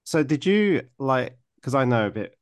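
A man's voice: mid-range voice, sing-song, normal speed, dry recording, clean studio sound. A man hosting a podcast interview, asking loose questions padded with many fillers. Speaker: podcast host. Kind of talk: casual interview chat.

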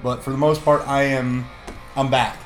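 A man's voice: medium pitch, little pitch movement, moderate pace, music in the background. Stammering man in an echoey room. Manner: stammering restarts. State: slightly congested, recovering voice. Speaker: podcast co-host. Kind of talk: casual chat.

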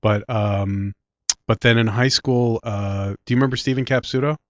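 A man's voice: mid-range voice, fairly even intonation, normal speed, a little hiss or hum. A man in his late forties with a Philadelphia accent, a guest telling stories in a dry, facetious way while congested from a sinus infection. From Philadelphia area, US. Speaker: guest, director and writer. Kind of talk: casual interview chat. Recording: dry, dull lo-fi sound.

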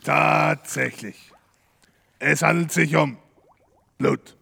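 A man playing a crude, cocky police detective in a comedic audio drama, with a drawn-out, theatrical delivery. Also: fairly high pitch, steady tone, vocal fry, bright, forceful tone, breathy edge, dry recording, exaggerated voice. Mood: flustered.

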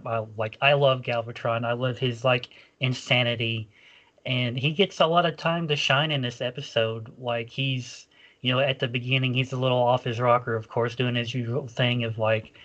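A man at middle pitch, chatting casually as a podcast co-host. His speech wanders and leans heavily on "like". The recording sounds a little dull and lo-fi. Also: fairly even intonation, normal speed, a bit bright. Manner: rambling, frequent "like" fillers and restarts. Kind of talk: casual fan discussion.

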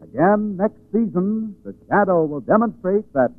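A man's voice: high-pitched, animated, moderate pace, dry recording. A man reading scripted closing announcements in formal 1940s radio style. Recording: narrow-band 1940s radio recording.

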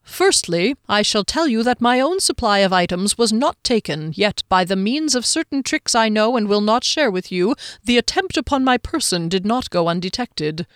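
A smug halfling thief from a fantasy storybook, acted out by a woman narrator. He speaks in pompous, dignified, wordy phrases, lifted in pitch and recorded close and dry. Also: very animated, moderate pace, hardly any pauses, clean tone, no room sound, lightly acted. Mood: dignified, boastful. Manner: pompous, verbose, formal diction.

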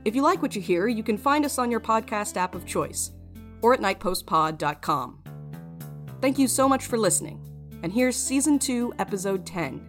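A woman's voice: medium pitch, animated, moderate pace. A woman podcast creator giving a scripted introduction to an audio drama episode. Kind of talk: scripted narration. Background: plucked guitar music.

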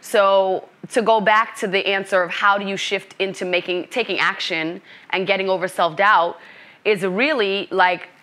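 Middle-aged Brooklyn-born woman, bright tone, measured, thoughtful interview guest. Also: mid-range voice, fairly even intonation. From Brooklyn, New York. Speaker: coach and author. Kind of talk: interview conversation.

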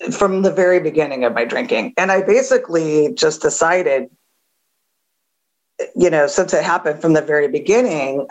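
A woman with a gravelly voice giving a candid recovery share, halting with many fillers and a wry edge. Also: low voice, low-quality recording. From Southern California. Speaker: AA speaker.

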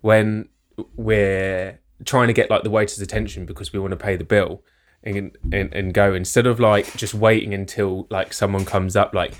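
Young british guy on a podcast, lowish voice, rambly and self-mocking. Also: flat delivery, normal speed, hardly any pauses. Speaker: podcast co-host. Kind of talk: casual chat.

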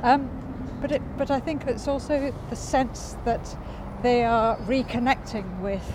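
A woman who hosts a podcast, chatting in a calm, reflective way with frequent restarts while walking outdoors. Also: fairly high pitch, unhurried. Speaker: podcast host. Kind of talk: casual outdoor conversation. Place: walking outdoors by a cycle path in a city. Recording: street noise outdoors.

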